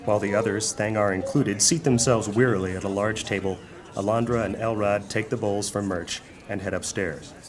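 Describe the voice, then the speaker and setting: A man playing the measured narrator of a fantasy audio drama, telling the story from a script. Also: lowish voice, fairly even intonation, a crowd in the background, natural voice.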